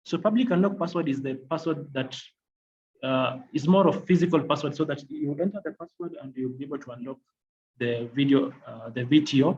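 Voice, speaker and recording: A man giving a software demonstration as a technical trainer, explaining in a halting, repetitive way. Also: medium pitch. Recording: dull lo-fi audio, faint noise.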